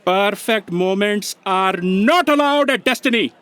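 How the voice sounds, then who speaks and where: A man's voice: sits high, expressive, a little slow, a bit bright, smooth. A man playing a fussy, officious copy aide in a sci-fi audio comedy, sarcastic, snippy and exasperated.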